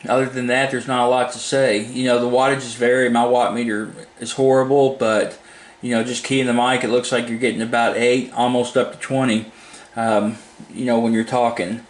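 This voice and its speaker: A man's voice: medium pitch, little pitch movement, moderate pace. A man giving a casual, unscripted product demo in a plain-spoken, informal, rambling way. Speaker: hobbyist product reviewer. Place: indoors at a home desk. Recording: echoey room, faint background noise.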